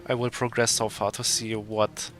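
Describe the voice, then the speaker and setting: A man playing a strategy game live and calmly explaining his moves. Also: lowish voice, moderate pace. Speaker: player demonstrating the game. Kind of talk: explaining gameplay while playing.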